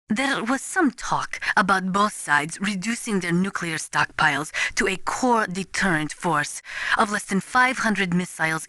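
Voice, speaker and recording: A woman's voice: mid-range voice, pushed and bright, dry recording. A woman playing an earnest, articulate nuclear weapons analyst in a spy video game, explaining in a precise, formal, lecturing manner. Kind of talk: scripted expository video game dialogue.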